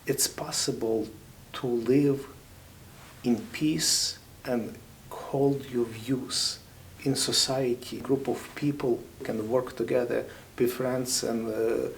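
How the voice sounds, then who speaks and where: Soft-spoken Ukrainian-accented man, halting. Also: medium pitch, fairly even intonation, vocal fry, a little hiss or hum, poor audio. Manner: halting, thoughtful, with many pauses and fillers. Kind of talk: interview answers.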